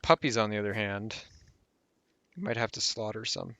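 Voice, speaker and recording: A man's voice: fairly low pitch, lively intonation, normal speed, sharp tone, dry recording. A man talking casually over a strategy game guide while losing his voice, rambling and trailing off with fillers. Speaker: gameplay guide host.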